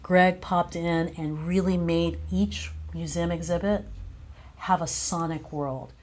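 Effusive woman with a low-pitched voice. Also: fairly even intonation, slow. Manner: effusive, emphatic praise. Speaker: guest, playwright and director. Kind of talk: conversational interview.

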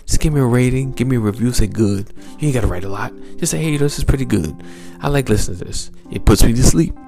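A man hosting a motivational podcast as a mindset coach, with a smooth, gravelly low voice and upbeat, repetitive exhortations. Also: expressive, fast, dark tone, soft background music.

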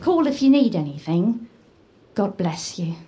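A woman playing a kindly, deferential medieval maidservant in an audio drama, soft and homely in manner. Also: medium pitch, very animated, unhurried. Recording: faint background noise.

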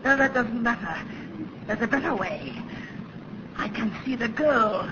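An actor playing a deranged, lonely killer who lives in a tunnel, menacing and paranoid, cackling and ranting in a 1930s radio thriller style. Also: male voice, very high-pitched, animated. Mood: frantic rage. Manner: ranting, repetitive, halting between outbursts. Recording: noisy, muffled old radio recording.

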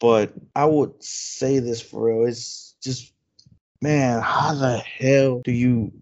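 A man's voice: mid-range voice, steady tone, slow, darkish tone. A man whose first language is Spanish, co-hosting a casual podcast and rambling with lots of fillers and restarts. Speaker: podcast co-host. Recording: dull lo-fi sound.

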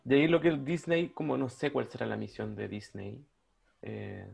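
Gravelly Chilean man in casual debate. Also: mid-range voice, lively intonation, normal speed, a little mellow. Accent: Chilean Spanish. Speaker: discussion panelist.

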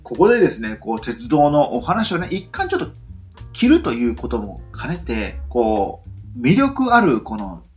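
A man's voice: normal speed, soft background music. A man hosting a casual late-night radio talk show, speaking with many fillers. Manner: lots of filler words, lively rising pitch when excited.

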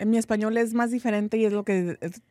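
A woman's voice: on the high side, steady tone, fairly quick, a little mellow, dry recording, studio quality. A woman from Tijuana with a Tijuana Mexican Spanish accent, co-hosting a podcast in blunt, slangy, laugh-filled casual chat. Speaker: podcast co-host.

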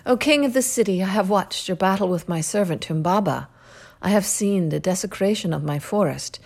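A woman's voice: medium pitch, a little fast, vocal fry, a little mellow, pitched above the performer's own voice. A woman reading aloud, voicing a haughty, commanding goddess who speaks in a grand, declaiming way. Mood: threatening.

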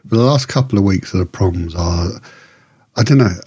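Man, podcast co-host, lowish pitch, warm dark tone, casual chat. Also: normal speed, slightly rough.

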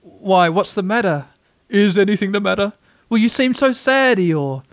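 Male reader as simple little bear, kindly, earnest, puzzled, raised pitch, storybook character. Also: moderate pace, dry recording, clean studio sound. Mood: concerned.

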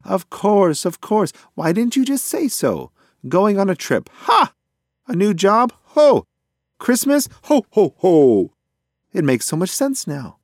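An excitable, fussy pigeon from a children's storybook, acted out by a man. The voice is high and swoops about wildly. He blusters and exclaims, forever muddled and indignant. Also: slow pace, no echo, clean studio sound, full character voice. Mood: excited, blustering.

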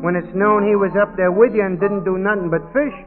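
An actor playing a folksy, needling working-class fellow with slangy, ungrammatical speech in a 1940s radio drama. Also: male voice, high voice, steady tone, fairly quick, over music, close to an everyday voice. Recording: tinny old radio broadcast recording.

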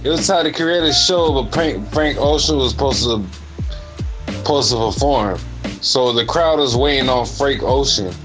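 A male co-host with a mid-range voice rambles in a relaxed, slangy way. Music plays clearly in the background. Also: medium pitch, moderate pace, poor audio. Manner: laid-back, slangy, rambling. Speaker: podcast co-host. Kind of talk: casual podcast chat.

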